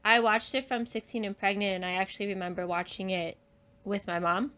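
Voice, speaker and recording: A woman's voice: medium pitch, steady tone, fairly quick, crowd noise. A woman chatting casually and informally as a podcast guest, often saying "like". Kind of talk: casual chat.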